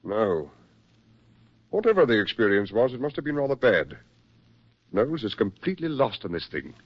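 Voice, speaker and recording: A man acting a brisk, persuasive military psychiatrist with genteel, insistent delivery in a 1940s radio drama. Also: fairly low pitch, very animated, slightly rough. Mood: grave, weary concern. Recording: muffled 1940s radio recording.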